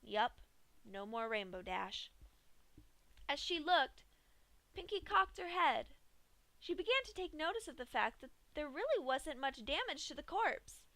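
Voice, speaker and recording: A woman reading a horror fan story aloud in a steady, measured storytelling voice. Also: high-pitched, no room sound. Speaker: fanfic reader.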